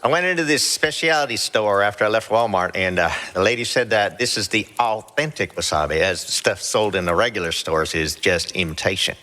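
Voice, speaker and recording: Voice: deep voice, brisk, few pauses, bright, forceful tone, in a large hall. A performer playing a laid-back, hesitant male spaceship crewman and cook in a dramatized fan fiction podcast. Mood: explanatory.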